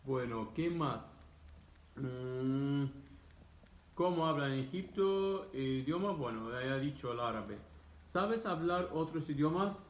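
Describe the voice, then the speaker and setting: A man in his thirties with an Egyptian accent, a native Arabic speaker, talking casually to camera in emphatic, halting, repetitive phrases. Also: mid-range voice, lively intonation. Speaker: vlogger answering students' questions. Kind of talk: casual explanatory talk to camera. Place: living room, indoors. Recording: roomy echo, dull muffled sound.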